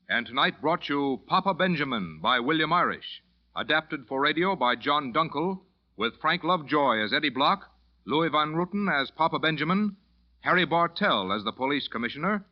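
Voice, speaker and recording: A man reading scripted credits as a formal, polished old-time radio announcer. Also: fairly high pitch. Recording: dull old radio recording.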